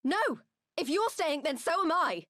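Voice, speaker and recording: Fiery game heroine: very high, animated, blunt, stubborn, impassioned. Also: female voice, moderate pace, no room sound, studio quality, full character voice. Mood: desperate defiance. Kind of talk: dramatic video game cutscene acting.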